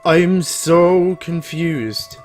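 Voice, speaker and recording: A man's voice: fairly high pitch, extremely slow, faint music behind, voice pushed up. A man voicing an anguished, confused young male story hero who speaks urgently, in questions and outbursts. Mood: distressed, confused.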